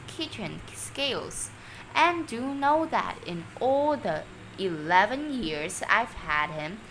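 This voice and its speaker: A woman voicing a sweet, doting storybook lady in a gushing, drawn-out, emphatic way. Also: a little high, a bit bright, slight background noise, exaggerated voice, poor audio. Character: sweet, gentle, doting woman who adores her tortoise.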